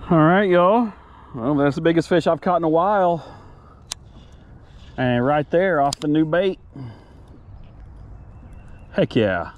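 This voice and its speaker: Slow-talking folksy American man outdoors. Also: a little high, lively intonation, muffled recording. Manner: folksy, unhurried, talking to viewers while fishing. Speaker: outdoor vlogger, angler. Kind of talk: casual fishing vlog chat. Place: outdoors in a kayak on a pond. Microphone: action camera at chest or head. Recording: outdoor noise on action camera.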